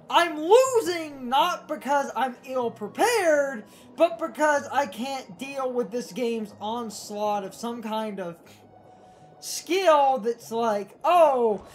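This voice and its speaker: A woman gives blunt, casual game commentary in a high voice. Her pitch moves around in a lively way and her pace is on the slow side, with faint music underneath. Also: slight background noise. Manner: blunt, opinionated, swears. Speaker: gaming commentator. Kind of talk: casual unscripted gaming chat.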